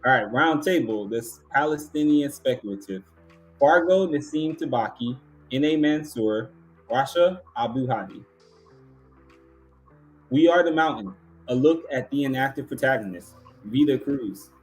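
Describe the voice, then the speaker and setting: A man's voice: mid-range voice, animated, a little slow, faint music behind, low-quality recording. A man casually hosting a live awards show and announcing finalists and winners.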